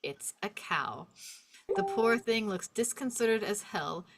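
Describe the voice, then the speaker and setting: A woman narrates a script at a table read. Her voice sits at a middle pitch and tells the action vividly, over some background noise. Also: animated, takes it slowly. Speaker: narrator reading stage directions at a table read.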